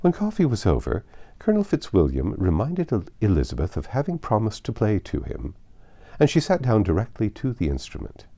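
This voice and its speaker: A man with a fairly low, mellow voice reads a classic novel aloud. He narrates in measured phrases, and his intonation rises and falls very widely. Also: wildly swooping pitch. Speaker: audiobook narrator.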